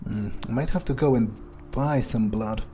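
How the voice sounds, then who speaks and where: A man roleplaying a cold, entitled, predatory vampire, musing aloud and swearing in husky in-character game commentary. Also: mid-range voice, mellow, faint music behind, natural voice. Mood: brooding. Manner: muttering to himself, swearing, deliberate musing. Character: cold, cruel, foul-mouthed male vampire, entitled and predatory. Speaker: gamer playing in character.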